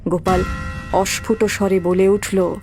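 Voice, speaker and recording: Female narrator for a horror cartoon; medium pitch, dramatic storytelling, music bed behind. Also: little pitch movement, a little slow, a little mellow. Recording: background music throughout.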